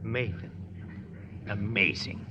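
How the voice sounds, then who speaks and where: An actor in an old-time radio drama playing an imperious, curt German naval captain with a German accent, barking at prisoners. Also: male voice, lowish voice, steady tone, slow pace. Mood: incredulous. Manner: curt, authoritative. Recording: muffled, echoing old radio broadcast, noisy.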